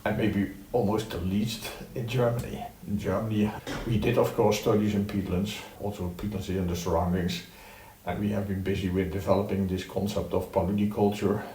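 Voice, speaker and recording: Older Dutch man, low and distant. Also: level tone, moderate pace, mellow, a little hiss or hum. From Netherlands. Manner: plainspoken, direct, with dry humor. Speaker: interview guest, retired professor. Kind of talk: reflective interview answers. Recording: roomy sound from a distant mic.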